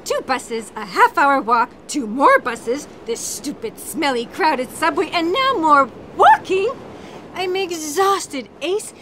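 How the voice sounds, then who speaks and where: A woman's voice: sits high, big pitch swings, slow pace, noisy background, full character voice. An actress playing an impatient, sarcastic woman scientist with a whiny, complaining manner in an audio drama. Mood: weary, annoyed. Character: impatient, sarcastic young woman paleontologist, easily exasperated. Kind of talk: scripted audio drama acting.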